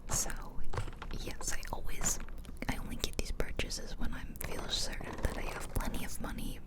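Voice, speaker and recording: A woman in her twenties rambling casually in a soft, unvoiced whisper for ASMR, close to the microphone. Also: moderate pace. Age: 20s. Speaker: ASMR video host. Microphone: close ear-to-ear ASMR microphone.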